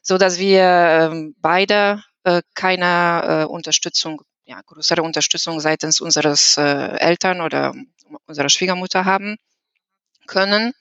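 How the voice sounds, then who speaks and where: A woman's voice: fairly low pitch, steady tone, measured pace, fairly bright tone, no room sound. Polish-accented woman, hesitant, muffled sound. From Poland. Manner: hesitant, many fillers and restarts. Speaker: employee guest. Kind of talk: podcast conversation. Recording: dull lo-fi sound.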